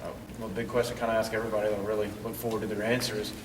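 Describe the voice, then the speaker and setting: A man's voice: fairly low pitch, level tone, very fast, background noise. Easygoing male interview host. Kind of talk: casual interview. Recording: distant echoey room recording.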